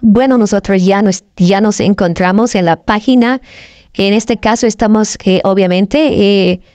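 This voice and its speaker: A woman's voice: mid-range voice, measured pace, warm tone, no echo. A woman narrating a screen-recorded walkthrough of channel statistics, talking in a hesitant way with many fillers and repeated phrases. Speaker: YouTube earnings commentator.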